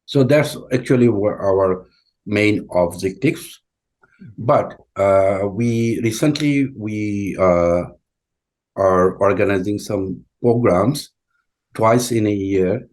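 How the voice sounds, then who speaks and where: A deep-voiced man, speaking English as an after Bengali. He talks slowly and haltingly, with frequent fillers and restarts as he answers interview questions. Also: darkish tone, low-quality recording. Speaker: community school president, guest.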